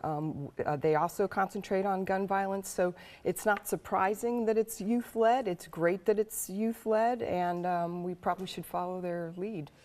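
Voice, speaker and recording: A woman's voice: mid-range voice, steady tone, moderate pace, hardly any pauses, darkish tone. A woman psychology professor answering questions in a live TV news interview, thoughtful, with frequent ums and stammered restarts.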